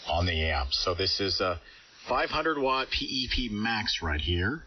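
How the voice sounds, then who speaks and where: A man with a lowish voice talks shop as a ham radio operator, running the contact casually. He sounds distant and muffled, with clear hiss over the signal. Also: very animated, a little slow. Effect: ham radio transmission. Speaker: amateur radio operator leading an on-air contact. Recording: dull hissy shortwave radio audio, distant.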